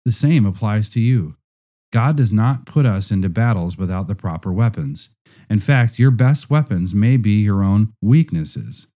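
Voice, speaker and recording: Deep, very mellow male narrator. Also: expressive, unhurried.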